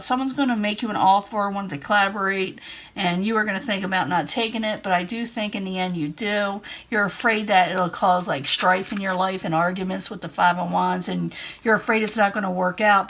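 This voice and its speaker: A woman's voice: medium pitch, a bit bright. Chatty woman reading tarot cards. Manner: chatty, reassuring, repeats herself. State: losing her voice. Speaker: tarot reader. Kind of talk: casual tarot reading to camera.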